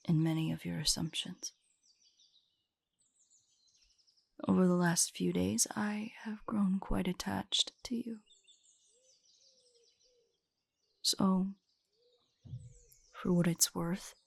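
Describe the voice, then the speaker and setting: A woman playing an ancient, guarded female drider in an intimate audio roleplay, speaking in a formal, measured, haughty way with a husky, breathy voice. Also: mid-range voice, no echo, exaggerated voice. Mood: reluctantly tender.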